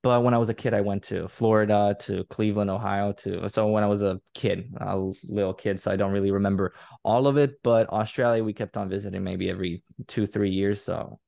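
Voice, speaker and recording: A young man with a Croatian accent, talking casually as a guest on an interview show. His voice sits low and carries a gravelly, fried edge, and he rambles, restarting his sentences and filling the gaps with fillers. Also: steady tone, brisk, dark tone. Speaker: guest, content creator.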